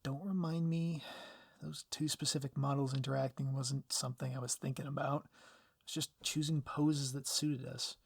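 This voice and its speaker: A man playing a reformed villain turned sappy, dorky sweetheart in an ASMR roleplay, speaking softly and intimately with gentle teasing. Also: medium pitch, level tone, moderate pace, vocal fry, husky, dry recording, clean studio sound. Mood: teasing, tender.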